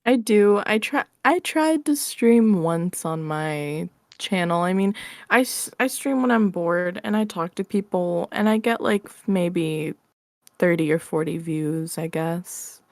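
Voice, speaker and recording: A woman's voice: fairly low pitch, lively intonation, unhurried, no echo. A woman chatting casually as an interview guest, informal and self-deprecating. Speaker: interview guest, audio roleplay creator. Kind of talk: casual chat.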